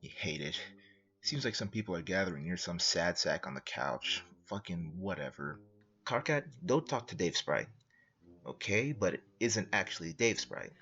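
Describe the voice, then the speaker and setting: Man as blunt, commanding comic narrator; deep, animated delivery, second-person storytelling. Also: normal speed, dull audio, exaggerated voice. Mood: wry, commanding. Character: deadpan, blunt male narrator commanding the hero.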